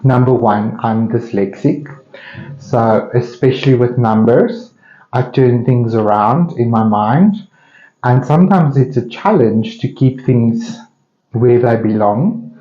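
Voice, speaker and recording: A man with an Afrikaans South African accent talks casually as he works at his art. His delivery is slow and mellow, with pauses as he thinks aloud. The sound is roomy, as if in an indoor studio. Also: medium pitch. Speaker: painter hosting an open studio session. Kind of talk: casual musing while painting. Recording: roomy, slightly dull sound.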